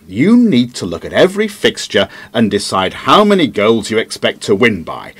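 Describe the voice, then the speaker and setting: A man's voice: mid-range voice, breathy edge. A man playing an earnest, opinionated investigator who explains things at length in an audio drama. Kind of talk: podcast-style audio drama dialogue.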